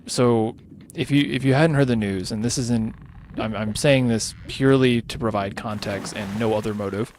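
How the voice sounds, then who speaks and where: A tired man whose voice is starting to go hoarse, rambling casually and thinking aloud as he hosts a gaming livestream. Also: mid-range voice, normal speed, darkish tone, a little hiss or hum. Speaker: livestreamer hosting a charity gaming stream. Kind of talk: casual unscripted game-stream chat.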